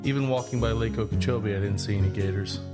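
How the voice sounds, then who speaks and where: A man acting as a laid-back young man narrating an audio drama about himself. He speaks plainly and conversationally, like scripted first-person storytelling. His voice sits a little low, under prominent guitar music. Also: fairly even intonation, fast talker, natural voice. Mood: easygoing, reflective. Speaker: narrator and lead.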